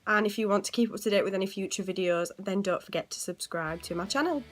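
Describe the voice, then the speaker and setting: A woman's voice: mid-range voice, quick pace, soft background music. A young woman with a cold, chesty voice, casually narrating a makeup tutorial voiceover. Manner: casual, chatty, step-by-step explaining. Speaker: beauty vlogger. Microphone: home voiceover mic.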